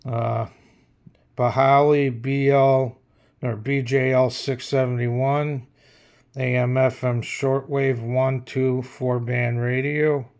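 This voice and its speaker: Slow-talking man casually reviewing gadgets. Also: mid-range voice, flat delivery, a little mellow, smooth. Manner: hesitant, unscripted, plain-spoken. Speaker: radio reviewer. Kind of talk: casual hands-on product review.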